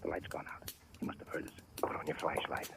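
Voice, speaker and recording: An actor playing a tough, brusque detective who commands and questions suspects in an old radio drama. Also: male voice, fast talker, whispered, natural voice. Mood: hushed, urgent. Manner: brusque, urgent. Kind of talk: 1940s radio acting. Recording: slightly noisy old radio recording.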